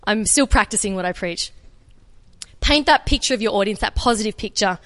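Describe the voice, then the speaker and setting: Australian woman chatting on stage at a conference, mid pitch, echoey hall. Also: animated, a little fast, a bit bright. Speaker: conference speaker. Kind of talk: live talk and Q&A at a conference. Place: conference room.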